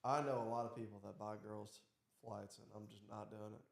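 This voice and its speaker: A man's voice: lowish voice, little pitch movement, vocal fry. A man hosting a podcast, chatting casually and teasing his guest. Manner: teasing, jokey, drawn-out. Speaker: podcast host. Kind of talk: casual chat. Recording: echoey room.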